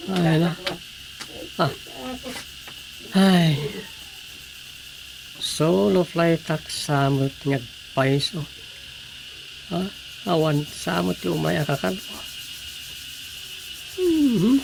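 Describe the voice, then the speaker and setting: A man's voice: fairly high pitch, lively intonation, very slow, creaky, long pauses, noisy background, exaggerated voice. A man playing an exasperated comic village councilor with an Ilocano accent, full of questions and exclamations, in a radio comedy-drama. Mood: puzzled.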